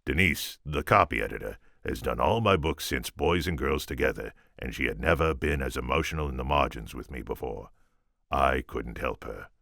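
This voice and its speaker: Here is a man acting out a gruff older New York writer, talking straight to the reader in an audiobook. His voice is very deep and full of gravel, with a forceful edge. He is wry and conversational. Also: moderate pace, dry recording, clean studio sound, exaggerated voice. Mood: wry, confiding. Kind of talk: audiobook narration in character.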